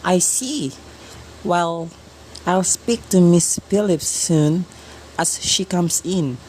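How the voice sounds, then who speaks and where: A calm, official hospital clerk, played by a woman, with a low and mellow voice. She speaks slowly and politely in radio-play style. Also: a little hiss or hum, low-quality recording. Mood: patient, official.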